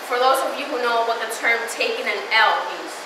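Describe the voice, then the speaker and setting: A woman's voice: a little high, a little fast. A young woman from the Dominican Republic whose first language is Spanish, giving a casual, chatty motivational talk to a live audience. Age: young adult. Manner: casual, chatty, with frequent fillers and laughs. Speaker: student speaker. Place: lecture hall before a live audience. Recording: very echoey hall, mic far off, noisy.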